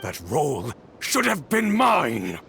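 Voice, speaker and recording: Game villain, scheming businessman, resentful, pompous, blustering, gravelly, very animated delivery. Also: a little high, slow, a little hiss or hum, heavily acted. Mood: bitter, resentful. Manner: blustering, dramatic, indignant. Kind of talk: video game voice acting.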